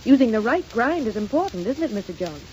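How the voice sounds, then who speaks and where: An actress playing a confident young housewife giving advice in a 1930s radio commercial. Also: female voice, fairly high pitch, very animated, fast, warm tone. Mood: confident, persuasive. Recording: dull, faintly noisy 1930s radio recording.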